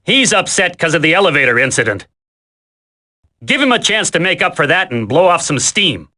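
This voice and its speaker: Bossy wisecracking game squad leader, sharp bright voice, really animated. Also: male voice, fairly high pitch, moderate pace. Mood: bossy, urgent. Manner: brash, slangy, bossy. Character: gruff, impatient, wisecracking male squad leader. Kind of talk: video game voice acting.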